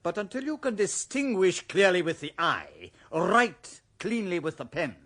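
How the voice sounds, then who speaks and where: A pedantic English schoolmaster in a radio drama. His voice is high for a man and swoops theatrically. He speaks with pompous precision and withering sarcasm. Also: big pitch swings, unhurried, fairly bright tone, full character voice. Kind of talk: radio drama classroom dialogue.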